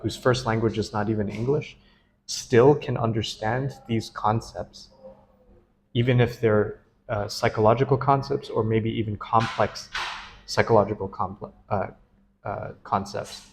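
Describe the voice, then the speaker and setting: A man's voice: lowish voice, fairly even intonation, a little slow, gravelly, a little hiss or hum. A man, a dream analyst, interviewing a client in an earnest, enthusiastic and easily laughing way. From United States. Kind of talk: casual interview.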